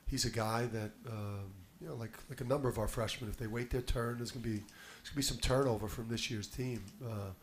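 A man's voice: mid-range voice, fairly even intonation, fairly quick, few pauses. Man, middle-aged, head coach, emphatic, restarts words, table mic, press room, faint background hum. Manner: confident, emphatic, repeats words when starting. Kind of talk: postgame press conference answers. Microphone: table mic on a stand.

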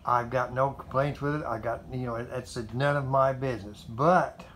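A man's voice: medium pitch, steady tone, normal speed, fairly bright tone. Old guy in his 70s rambling about his ride, lots of uh and you know, faint hum behind, recorded in a tin carport. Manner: folksy, meandering, repeats himself with fillers. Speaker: motorcycle vlogger. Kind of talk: rambling casual monologue to camera. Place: metal carport garage, indoors.

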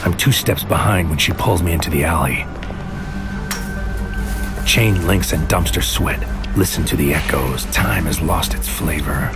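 Casting: brooding sci-fi noir narrator, low-pitched, clipped poetic delivery, over prominent music. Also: male voice, vocal fry, heavily acted. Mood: brooding, intense. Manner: poetic, clipped, deliberate phrasing. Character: brooding male mind infiltrator narrating a surreal noir mission. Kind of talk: scripted noir audio drama narration.

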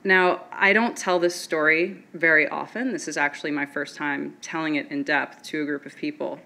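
A young woman telling a personal story from a podium on stage, earnest and steady. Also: low-pitched, normal speed, creaky.

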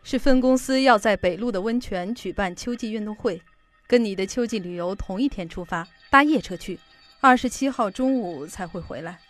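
A woman's voice: mid-range voice, normal speed, faint music behind, lightly acted. An actress in an audio drama playing a chatty, fretful middle-aged mother who sells insurance. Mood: caring, brisk. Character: fussy, protective middle-aged working mother, insurance saleswoman.

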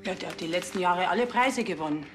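A woman's voice: on the low side, expressive, fast talker, over music, natural voice. An actress playing a chatty bakery woman passing on village gossip. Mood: matter-of-fact.

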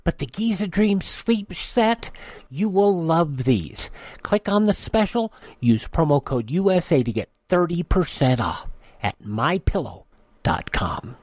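A man's voice: on the high side, sing-song, a little slow, darkish tone, dry recording. A man with an American accent hosting a radio show, reading announcements and ads in a conversational way. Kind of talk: radio host announcements and live ad reads.

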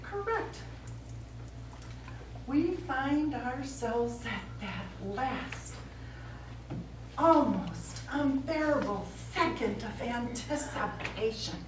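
A woman's voice: on the high side, expressive, extremely slow, long pauses. A middle-aged woman on stage playing a theatrical, easily offended artist with a grand, florid delivery. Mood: rapturous, grand. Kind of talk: live stage play acting. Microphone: far camera. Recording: roomy stage, distant camera mic, noisy.

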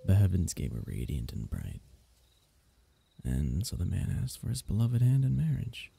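A man acts out a royal diviner from a fantasy bedtime roleplay, gentle and flirtatious. His voice is low and very dark, with a gravelly creak. He speaks intimately, in courtly, teasing phrases. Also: huge pitch swings, normal speed, full character voice. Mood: fond storytelling. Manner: tender, teasing, courtly old-fashioned phrasing, soft chuckles.

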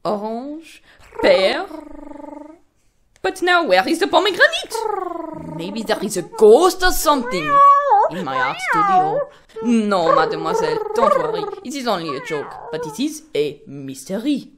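High, swooping monkey painter. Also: female voice, huge pitch swings, unhurried, heavy background noise, full character voice. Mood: baffled, exasperated. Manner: exaggerated, theatrical, talking fondly to a pet. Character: fussy, theatrical French monkey painter puppet, fond of cat. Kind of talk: children's puppet show acting.

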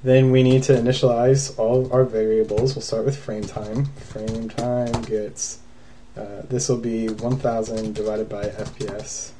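Slow, mellow man talking through code. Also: lowish voice, level tone, slight background noise, low-quality recording. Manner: casual, thinking aloud with many ums. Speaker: programming tutorial host. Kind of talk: screencast coding tutorial.

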